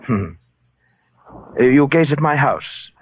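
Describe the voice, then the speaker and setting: An actor playing a huge, urbane, menacing gentleman in a radio crime drama, speaking in a florid, deliberate way. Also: medium pitch, sing-song. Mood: languid, boastful. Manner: florid, pompous, silky, deliberate. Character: huge, urbane, menacing gentleman collector of fake Oriental art. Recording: muffled, hissy old radio recording.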